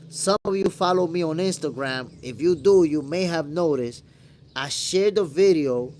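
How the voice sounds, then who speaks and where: A man's voice: a little high, a little hiss or hum. A man with a New York accent hosts a casual boxing live stream, chatting in slang with viewers and answering their comments. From New York, after moving from the Dominican Republic at eight. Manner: conversational and slangy, addressing viewers as brother. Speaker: boxing show host.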